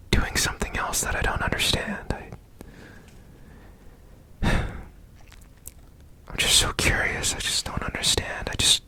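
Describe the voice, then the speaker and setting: A man acts out a strange, curious robot repairman in an ASMR roleplay. He speaks in a slow, breathy whisper with crisp, bright edges. His gentle, soothing murmurs rise and dip freely as he works.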